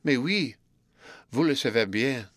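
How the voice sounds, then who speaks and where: A man reading aloud voices a shy, earnest young woman pupil, speaking softly and slowly in a raised tone. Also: medium pitch, lively intonation, no room sound, clean studio sound, voice pushed up. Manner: soft, subdued and slow.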